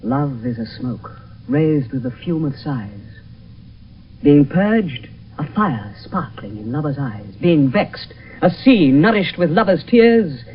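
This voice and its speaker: A man's voice: fairly high pitch, sing-song, slow. An actor playing a lovesick, melancholy young nobleman, brooding poetically in a radio Shakespeare play. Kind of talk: 1970s radio Shakespeare acting. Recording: dull, hissy 1972 radio recording, distant.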